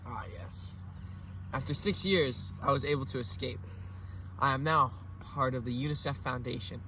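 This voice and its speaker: A man's voice: medium pitch, steady tone, moderate pace, sharp tone, slight background noise. A young man playing a former child soldier in a mock news interview, giving earnest recited testimony.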